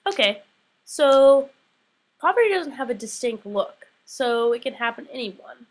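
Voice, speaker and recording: A woman's voice: fairly high pitch, sing-song, measured pace. A young woman reading an earnest, questioning documentary voice-over as a student filmmaker. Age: young adult. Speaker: student narrator.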